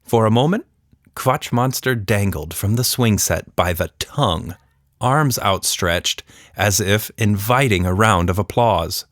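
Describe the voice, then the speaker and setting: A man's voice: lowish voice, lively intonation, unhurried, fairly bright tone, no room sound, studio quality. A man narrating a scripted children's story as the storyteller.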